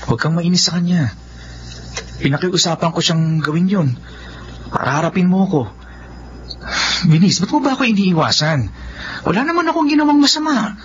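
A man's voice: high-pitched, expressive, brisk, long pauses, slight background noise. An actor playing an earnest, lovelorn young man, pleading emotionally in a radio romance drama. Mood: hurt, pleading. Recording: muffled audio under loud music.

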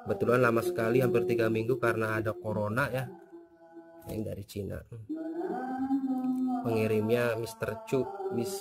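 Man, slightly low voice, casual, rambling product walkthrough into a handheld phone. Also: moderate pace, long pauses, music in the background. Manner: relaxed, chatty, many fillers and tag questions. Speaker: fishing gear reviewer. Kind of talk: casual unboxing review. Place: indoors on a tiled floor.

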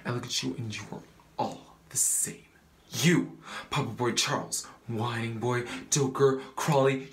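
A man's voice: mid-range voice, a bit bright. Young man acting a bitter, grieving relative, echoey room. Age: young adult. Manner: emphatic, repeating phrases. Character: bitter, grieving, accusing family member in a stage drama. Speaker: student actor. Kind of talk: dramatic stage monologue. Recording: roomy echo with background noise.

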